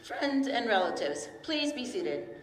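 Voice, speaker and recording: A woman playing a formal, fussy male family lawyer in a radio drama, speaking in a measured, proper way. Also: on the high side, big pitch swings, background noise. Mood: solemn. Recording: echoey hall, faint music behind.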